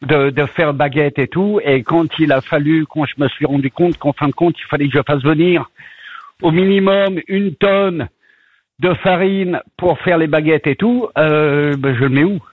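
A middle-aged man from northern France, with a French accent and a slight Yorkshire tinge, calls in to a radio show and talks haltingly with many fillers. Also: fairly high pitch, breathy edge, dry recording. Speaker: caller, baker abroad. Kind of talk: phone-in chat on radio. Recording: tinny phone line, dry.

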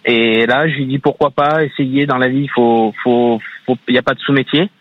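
A man answers casually in an interview, feeling his way through his sentences. His voice sits at a medium pitch, and the sound is dry and somewhat dull. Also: steady tone, moderate pace, no room sound. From Italian and Spanish descent. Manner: hesitant, searching for words. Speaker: interviewed beach attendant.

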